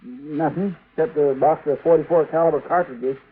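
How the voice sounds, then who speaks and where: A man's voice: on the high side, steady tone, moderate pace, narrow, tinny audio, lightly acted. An actor with an American accent playing a folksy, earnest, deferential lawman's sidekick in an old radio western. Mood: earnest, reporting. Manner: folksy, plain-spoken, earnest. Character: folksy, deferential male frontier deputy's sidekick. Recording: thin, noisy old radio recording.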